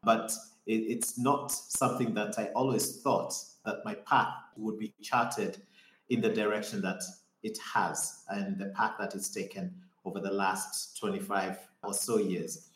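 A man answers interview questions in a low, deep voice. He tells his story in a reflective, measured way. His remote call picks up a roomy echo. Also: steady tone, a bit bright. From Kenya. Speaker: guest. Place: home or office in Nairobi.

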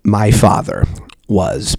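A man doing an impression of a menacing male villain, in a slow, low, breathy near-whisper with long pauses. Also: sing-song, darkish tone, no room sound, clean studio sound, exaggerated voice.

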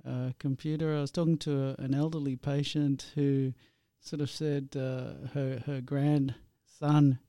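A man's voice: mid-range voice, little pitch movement, a little slow, hardly any pauses, dark tone, no echo, clean studio sound. A man originally from India who hosts the interview, chatting in a friendly, rambling way with many fillers. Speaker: host.

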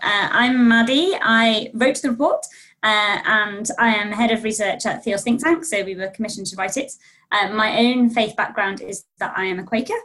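A woman who heads research, introducing herself in a relaxed, light-hearted way. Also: medium pitch, moderate pace, poor audio. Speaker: head of research, report author. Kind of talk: panel discussion on a video call.

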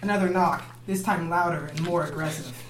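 A woman's voice: on the low side, fairly quick, noisy background. A woman reading script stage directions aloud in an amateur dramatic reading, with mock-serious narration. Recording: roomy echo, others laughing behind.

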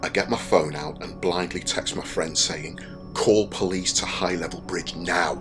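A man's voice: sits low, fairly even intonation, a little slow. An actor playing a young man who tells his own story in a confiding, wry radio drama monologue. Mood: anxious, grave. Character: young man narrating his walk home, wry and anxious. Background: music behind the voice.

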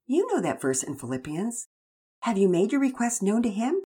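Storybook dad, gentle and preachy, voiced by a breathy female narrator. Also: a little high, huge pitch swings, normal speed, voice pushed up, full character voice. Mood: instructive. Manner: gentle, instructive, scripture-quoting. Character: devout father, patient and preaching.

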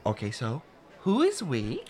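A woman playing an awkward, pushy, nosy school parent in an improvised comic radio play, halting, repeating herself and interjecting. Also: rumbling low, wildly swooping pitch, mellow, a little hiss or hum, full character voice. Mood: needy, probing.

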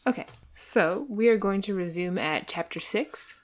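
A woman's voice: mid-range voice, normal speed. A woman co-hosting a podcast, moving between dramatic storybook narration and casual, amused chat. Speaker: podcast co-host and reader.

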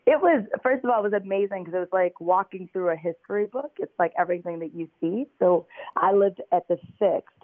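A woman's voice: mid-range voice, a little fast, hardly any pauses, dry recording. An American woman telling her story as an interview guest over a phone call, chatty and full of fillers. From United States. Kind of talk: conversational interview. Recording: tinny phone line.

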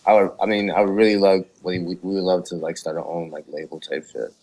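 Gravelly, deep, flat-toned man. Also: quick pace. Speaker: podcast guest, musician. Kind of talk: casual chat.

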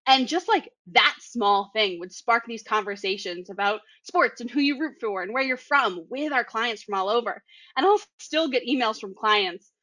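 Young boston woman chatting away, laughs often, bright tone, dry close sound. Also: a little high, expressive, normal speed, dry recording, rough recording. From Boston area. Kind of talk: casual podcast chat.

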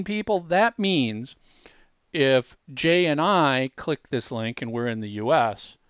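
A man's voice: medium pitch, no echo, studio quality. A man presenting a casual tutorial, explaining step by step in a conversational way with emphatic asides. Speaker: presenter demonstrating a web tool.

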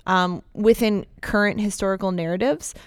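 A woman's voice: medium pitch, fairly even intonation, normal speed, clean tone, no echo, studio quality. Nasal chatty woman with a Buffalo accent. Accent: Buffalo, New York. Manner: chatty, laughs often, sarcastic asides. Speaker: podcast co-host. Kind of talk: casual chat.

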